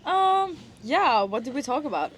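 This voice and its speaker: A woman from Sweden chatting casually on a podcast, giggly and excitable. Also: high voice, normal speed. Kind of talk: casual chat.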